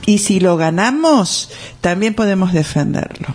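A woman's voice: medium pitch, animated, a little slow, darkish tone. An older woman with a Rioplatense accent, a co-host musing earnestly on a radio chat, with many tag questions. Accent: Rioplatense Spanish.

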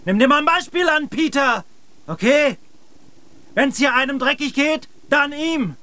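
A man's voice: extremely high pitch, lively intonation, unhurried, bright, forceful tone, no echo, full character voice. A man playing a blunt, protective polar explorer who scolds his comrade in a German radio drama. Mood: indignant, scolding. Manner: blunt, reproachful.

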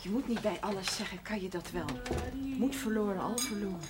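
Woman acting no-nonsense shop lady, quick and curt, old tv drama with loud background noise. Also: medium pitch, close to an everyday voice. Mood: practical, brisk. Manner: curt, disapproving. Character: blunt, worried, practical woman shopkeeper. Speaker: actress in a television drama. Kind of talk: period television drama acting. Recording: very noisy vintage TV soundtrack.

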